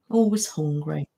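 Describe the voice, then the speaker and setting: A woman's voice: on the low side, vocal fry, darkish tone. A middle-aged British woman, an ASMR channel host, chatting casually on a live stream and answering viewers, rambling with many fillers. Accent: British English.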